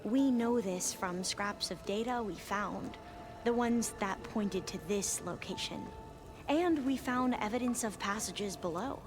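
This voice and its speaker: An actress voicing a cautious, scholarly young woman researcher in a video game, explaining things politely and deliberately over game music. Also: mid-range voice, close to an everyday voice.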